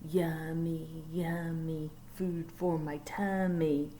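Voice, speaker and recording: A woman's voice: deep voice, flat delivery, very slow, warm tone, a little hiss or hum, heavily acted. A woman voicing a group of hungry sharks who chant a sing-song taunt in a storybook. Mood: teasing chant.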